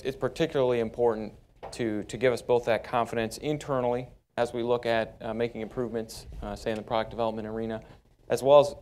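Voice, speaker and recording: A man speaking as a company panelist in a conference hall, measured and steady, with frequent fillers. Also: mid-range voice. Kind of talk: panel discussion.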